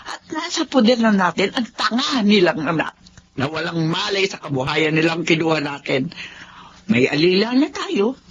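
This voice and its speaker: An actress with a Filipino accent playing a spiteful, greedy, domineering aunt in a radio drama, scornful and theatrical. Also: female voice, fairly low pitch, sing-song, moderate pace. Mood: gloating. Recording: dull lo-fi audio with background noise.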